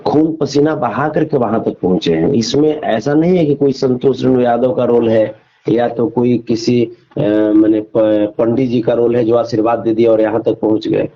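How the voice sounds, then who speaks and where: A man's voice: medium pitch, steady tone, normal speed. A man argues his opinions emphatically in a phone interview, repeating phrases and posing rhetorical questions. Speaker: interview guest. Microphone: phone call. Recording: dull lo-fi phone call recording.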